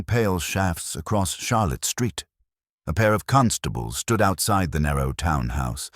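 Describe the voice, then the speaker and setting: A man reading a scripted detective mystery in a measured, suspenseful storytelling manner. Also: rumbling low, dry recording, studio quality. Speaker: audiobook narrator. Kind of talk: scripted dramatic mystery narration.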